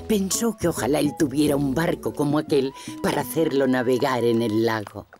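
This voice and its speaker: A woman's voice: extremely low pitch, moderate pace, over music. A woman with a Castilian Spanish accent narrating a scripted children's cartoon story.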